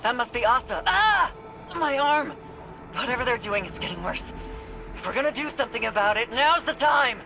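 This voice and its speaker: A woman's voice: on the high side, animated, moderate pace, rough recording. An actress playing a tough, pained female soldier in a video game, intense and urgent. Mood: strained, urgent. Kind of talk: dramatic video game voice acting. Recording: game dialogue under loud music.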